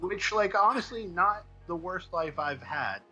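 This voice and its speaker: A man with a very bright, forceful voice talks as a guest in a casual interview. He tells stories in a rambling, wry way, over a faint bed of music. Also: sits high, lively intonation, measured pace, muffled recording. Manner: rambling, wry, informal storytelling. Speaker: guest comics writer.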